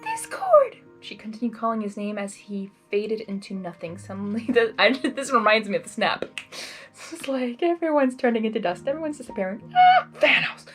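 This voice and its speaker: A woman reading a story expressively and breaking into casual, chatty, excitable reactions. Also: on the high side, fairly quick, soft background music. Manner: excitable, giggly asides. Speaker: fan fiction reader and reactor.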